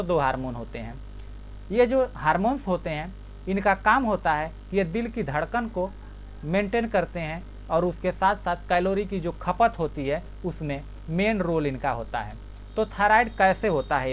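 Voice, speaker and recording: A woman with a fairly low voice gives a steady explanatory health talk. She makes a point, then says it again in other words. A faint hum runs under the recording. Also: low-quality recording. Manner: repeats each point with a rephrasing. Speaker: health educator.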